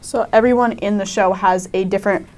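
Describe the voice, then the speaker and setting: A young woman, a high school student actor, talking casually as a panel interview guest. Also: fairly even intonation, brisk, darkish tone. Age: teens to young adult, high school student. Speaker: student cast member being interviewed.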